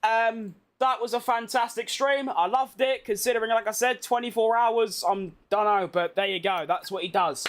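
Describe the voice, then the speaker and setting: Streamer, British man, worn out after a long day, rambling and self-mocking, pitched very high. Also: normal speed, hardly any pauses, a little hiss or hum. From Britain. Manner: chatty, rambling, self-deprecating. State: tired from being awake all day. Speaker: gaming streamer. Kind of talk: casual live-stream gameplay chat.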